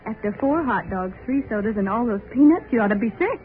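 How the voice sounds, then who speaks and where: A woman's voice: on the high side, animated, fast talker, close to an everyday voice. An actress playing a giddy, breathless young bride in love with her new husband, in 1930s radio acting style. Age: young adult. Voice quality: breathless, sentimental. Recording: tinny old radio recording with faint hiss.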